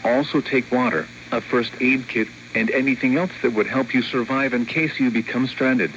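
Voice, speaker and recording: Synthetic man, weather radio bulletin, formal measured delivery, mid-range pitch, muffled radio sound, background noise. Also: fairly even intonation. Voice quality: synthetic text-to-speech voice. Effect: radio broadcast. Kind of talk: scripted weather alert bulletin read-out. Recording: dull lo-fi weather radio, clear static noise.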